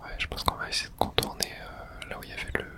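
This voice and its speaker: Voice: quick pace, sharp tone. A person whispering breathily while narrating their own video game play in an ASMR style, murmuring reactions and mild swearing.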